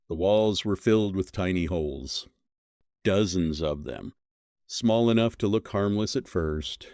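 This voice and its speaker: A man with a low, deep voice narrates a scripted horror mystery. He builds suspense with measured, short dramatic phrases. The sound is dry and close, with hardly any room on it. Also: a little mellow, dry recording.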